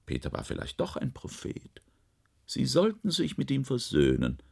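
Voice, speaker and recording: Gloomy gravelly-voiced cultured official. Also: male voice, mid-range voice, wildly swooping pitch, normal speed, exaggerated voice. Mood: gloomy, earnest. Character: gloomy, cultured male library official foreseeing decline. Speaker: radio drama actor. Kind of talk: German science-fiction radio play acting.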